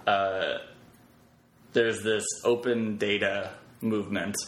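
A man speaking as a podcast guest, casually explaining technical things in halting pieces. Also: on the low side, fairly even intonation, fairly bright tone. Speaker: podcast guest, web developer. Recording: roomy echo, clear background noise.

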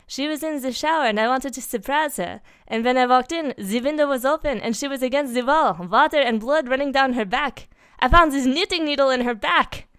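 A woman plays a young Frenchman in an amateur horror story. He is romantic and emotional, phrasing things theatrically. The voice is lifted high above the narration, clear and closely recorded. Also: a little fast, hardly any pauses, a bit bright, no echo. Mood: distraught.

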